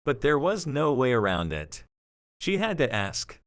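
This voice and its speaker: Expressive male story narrator. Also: medium pitch, unhurried, no room sound, studio quality.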